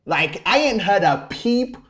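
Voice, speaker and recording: A young British man co-hosting a casual talk show, jokey and animated, laughing often as he talks. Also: sits high, measured pace. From United Kingdom. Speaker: co-host. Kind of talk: casual chat.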